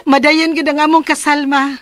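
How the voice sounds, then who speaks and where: An actress playing a diligent, excitable young bride with a Cebuano accent in a radio drama. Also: female voice, high-pitched, dry recording. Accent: Filipino, Cebuano. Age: young adult.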